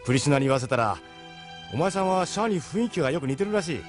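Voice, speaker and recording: A man's voice: on the high side, lively intonation, fast, faint music behind, natural voice. A man voicing a seasoned, middle-aged ship captain in an audio drama, persuasive, frank and worldly. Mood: musing, explanatory. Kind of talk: Japanese audio drama acting.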